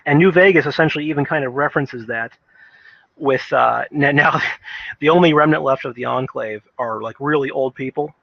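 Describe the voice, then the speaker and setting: A man's voice: mid-range voice, a little fast, creaky, dry recording, muffled recording. A man giving casual, unscripted video game commentary in a rambling, emphatic, earnest way, often stammering over repeated words.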